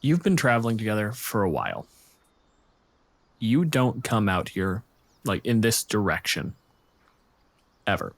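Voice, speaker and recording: Low-voiced, unhurried man narrating a game. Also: big pitch swings. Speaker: game master. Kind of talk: improvised tabletop game narration.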